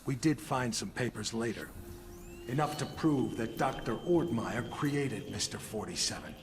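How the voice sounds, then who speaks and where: An actor playing an embittered, proud older intelligence chief in a video game cutscene, speaking with deliberate, sardonic authority. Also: male voice, mid-range voice, expressive, music in the background. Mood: grave, controlled.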